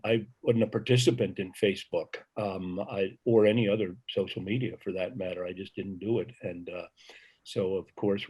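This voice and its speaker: Older man with a husky voice. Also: fairly low pitch, a little mellow. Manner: hesitant, many ums. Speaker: panelist. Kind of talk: panel discussion.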